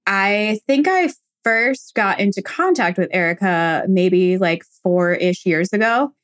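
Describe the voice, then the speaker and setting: An American woman co-hosts a casual podcast in a voice of average pitch. Her talk is informal and chatty, peppered with "like" and phrased as friendly conversation. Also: lively intonation, takes it slowly. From United States. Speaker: podcast co-host. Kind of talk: casual chat.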